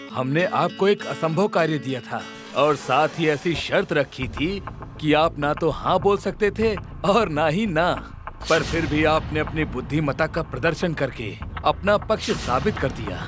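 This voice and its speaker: An impatient cartoon emperor. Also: male voice, on the high side, expressive. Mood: pleased. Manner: commanding, quick to demand answers. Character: regal impatient middle-aged male emperor. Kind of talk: animated storybook voice acting. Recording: background music under voice.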